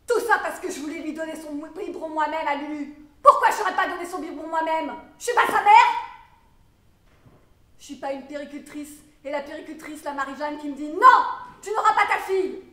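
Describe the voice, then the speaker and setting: A woman acting a theatrical monologue as a troubled young mother, wounded and defiant, swinging between muttered confiding and shouted outrage. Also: sits high, distant mic, natural voice. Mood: outraged, anguished. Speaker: drama student performing a monologue. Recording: roomy echo with background noise.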